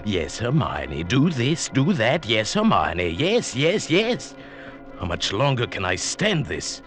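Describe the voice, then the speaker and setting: An actor playing a henpecked middle-aged professor with resentment under a mild manner, in 1940s radio drama style. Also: male voice, medium pitch, huge pitch swings, slow, loud music behind the voice. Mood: seething resentment. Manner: mild, hesitant, placating. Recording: vintage 1940s radio recording.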